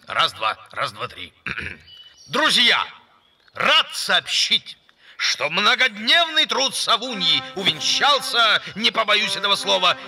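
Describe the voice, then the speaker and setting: Pompous, high-voiced cartoon bird. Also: male voice, sing-song, slow, vocal fry, sharp tone, husky, exaggerated voice. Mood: ceremonial. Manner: pompous, oratorical. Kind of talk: Russian children's cartoon voice acting.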